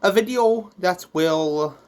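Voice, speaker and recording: Casual vlog host, medium pitch, slow pace, rambling and a little theatrical, addressing viewers directly. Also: darkish tone. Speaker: YouTube host and manga creator.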